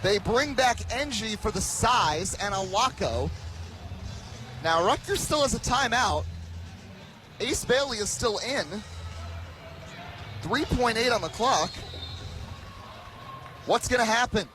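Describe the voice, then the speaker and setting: High-pitched young man calling basketball. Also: slow, pauses often. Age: 20s. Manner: excitable, dramatic calls that rise into shouting. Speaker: play-by-play commentator. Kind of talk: live radio basketball commentary. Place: basketball arena.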